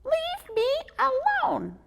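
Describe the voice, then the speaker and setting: A high, squeaky little squirrel snaps and shouts, played by a woman reading aloud. His voice jumps all over the place and carries a gravelly rasp. He is easily annoyed and insistent, right out of a children's storybook. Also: huge pitch swings, drawn-out words, background noise, full character voice. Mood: angry. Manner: indignant, shouting.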